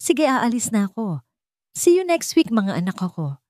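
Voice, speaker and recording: A woman's voice: fairly high pitch, big pitch swings, unhurried, no room sound, studio quality, voice pushed up. A woman voicing a scheming, arrogant, falsely sweet mother in an audio story. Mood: sugary, fake. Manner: sharp and haughty, or sugary when pretending.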